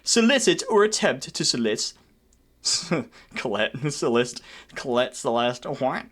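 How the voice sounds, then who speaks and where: A man's voice: medium pitch, sing-song, a little slow, a bit bright. A man reading a document aloud as a content creator, breaking off for irreverent, sweary, theatrically indignant asides.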